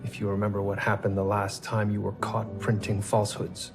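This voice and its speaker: A composed detective who speaks in formal, measured sentences. His voice sits a little low, and a clear music score runs under him. He questions people steadily, giving little away. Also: monotone. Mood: stern. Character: calm, methodical male police detective. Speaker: police detective. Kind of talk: period TV drama acting.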